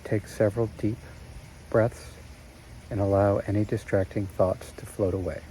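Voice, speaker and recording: A man narrating a guided meditation in a slow, soothing way with long pauses. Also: fairly low pitch, steady tone, warm tone, a little hiss or hum, poor audio.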